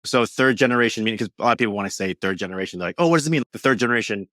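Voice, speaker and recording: Low-voiced man chatting animatedly. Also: very fast, no room sound, clean studio sound. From New York, USA. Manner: animated storytelling, acting out quoted voices. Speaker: guest. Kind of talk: casual interview chat.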